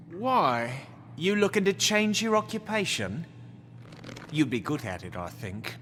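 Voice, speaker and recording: A man's voice: on the high side, very animated, takes it slowly, pauses often, fairly bright tone, slight background noise, exaggerated voice. An actor playing a cocky, sarcastic henchman in a video game, with a mocking, flippant and defiant way of talking. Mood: smug. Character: cocky, sarcastic wisecracking male thug henchman under interrogation. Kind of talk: dramatic video game acting.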